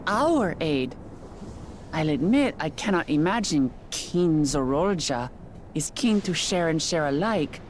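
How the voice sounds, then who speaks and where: An actress playing a blunt, wary woman warrior in a video game, with forceful phrasing. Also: low voice, expressive, takes it slowly, a little hiss or hum. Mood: suspicious.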